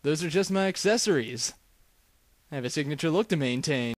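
Flippant male detective, actor, parody cartoon: deadpan, cocky, slightly high voice, dry sound. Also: brisk, no room sound, close to an everyday voice. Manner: deadpan, wisecracking. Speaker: voice actor in a fan-made anime parody dub. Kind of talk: deadpan parody dub acting.

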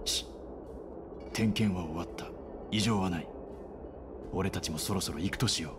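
A man's voice: sits low, normal speed, background noise, close to an everyday voice. A man voicing a stern, controlled conspirator in a Japanese video game. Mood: curt.

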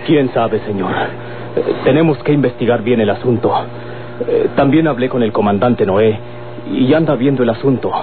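A man's voice: medium pitch, natural voice. A Mexican man playing a sober, respectful younger man reporting to his boss in an old radio drama. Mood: measured. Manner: measured, respectful. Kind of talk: Mexican golden-age radio serial acting. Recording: noisy, phone-like old radio recording.

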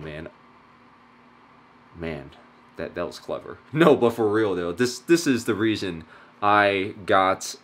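A young man in his twenties, for whom English is not his first language, talking casually to camera in a vlog, excitable and slangy, often saying "dude" and "freaking". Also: mid-range voice. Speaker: vlogger. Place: car, then kitchen, then living room, indoors.

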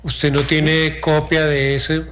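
A man's voice: a little high, flat delivery, takes it slowly, a bit bright, clean tone. A man questioning a senator during a courtroom hearing. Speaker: magistrate questioning.

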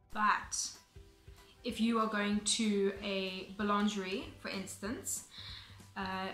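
A woman's voice: mid-range voice, sharp tone, roomy sound. A young South African woman in her twenties, chatting to camera in a casual, explanatory way with frequent ums and restarts. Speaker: vlogger. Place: bright room indoors, seated on sofa.

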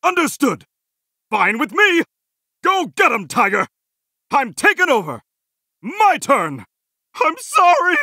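Very high-pitched goofy, over-eager game hero. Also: male voice, wildly swooping pitch, slow, long pauses, bright, forceful tone, husky, no echo, clean studio sound, exaggerated voice. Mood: eager, determined. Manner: over-eager, exclamatory, cheesy heroic delivery. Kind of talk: video game battle barks.

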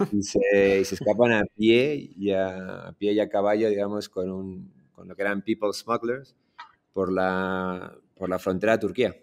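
A man in his forties with a Madrid Spanish accent, telling his story as an interview guest on a video call, relaxed and full of restarts. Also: deep voice. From Iran, raised in Madrid. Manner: relaxed, many restarts and fillers. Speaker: startup founder guest. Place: in front of a green screen, indoors.

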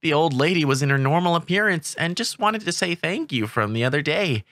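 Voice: medium pitch, expressive, a little fast, fairly bright tone, no echo. A narrator telling a first-person romantic comedy manga story in a scripted dub style.

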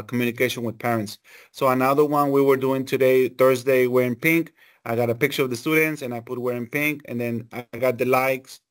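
Middle-aged male teacher, informal screen-share demo over video call, mid-pitched voice, halting and repetitive, non-native English phrasing. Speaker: elementary school teacher giving a training session. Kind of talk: informal software walkthrough.

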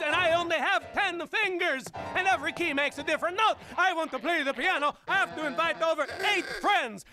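An actor playing a grumpy Swedish man who rants loudly, in a thick Swedish accent with exasperated, sing-song complaints. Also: very high-pitched.

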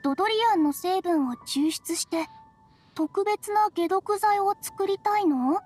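A woman's voice: very high voice, a little slow, darkish tone, soft background music. A woman voicing a timid, earnest young female researcher in an anime video game, polite and a little hesitant. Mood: thoughtful, hesitant.

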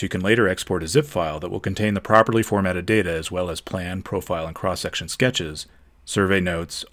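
Deep-voiced man narrating a software tutorial. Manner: steady, methodical explanation. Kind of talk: scripted instructional narration.

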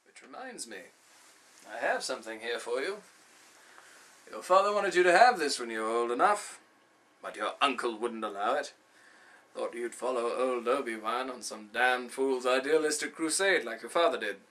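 A man performing a film monologue as an old, wise Jedi mentor, grave and wistful, with a measured storytelling manner. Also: mid-range voice, animated, fairly bright tone. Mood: wistful, instructive.

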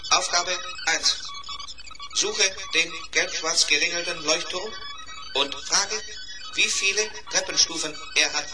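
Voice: medium pitch, a little slow, close to an everyday voice, rough recording. Actor doing a polite little robot schoolkid, careful earnest talk, 70s kids radio play, music under it. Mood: businesslike. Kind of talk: 1970s German children's radio play acting.

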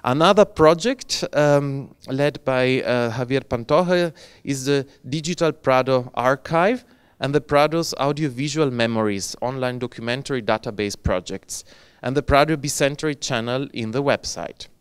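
A man's voice: medium pitch, measured pace, smooth. A man in his forties introducing a conference speaker, careful and hesitant with many fillers, on a handheld mic in a lecture hall. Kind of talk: formal introduction read in English.

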